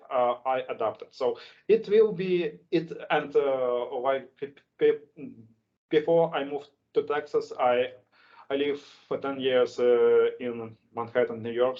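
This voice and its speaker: Man with a strong Russian accent, halting. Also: mid-range voice, darkish tone. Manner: halting, many restarts and fillers. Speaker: speaking club host. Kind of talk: casual online English lesson chat. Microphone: video call. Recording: lo-fi video call audio.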